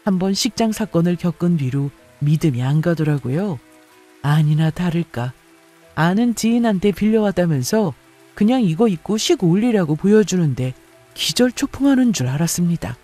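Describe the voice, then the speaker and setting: High-pitched, lively male story narrator. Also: normal speed, faint music behind. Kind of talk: dramatic scripted first-person narration.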